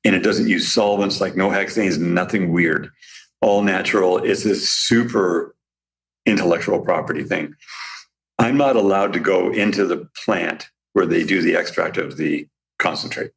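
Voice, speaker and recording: A man with a slightly raspy voice, a podcast guest who owns a supplement business, telling rambling, animated stories in casual conversation. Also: sits low, normal speed.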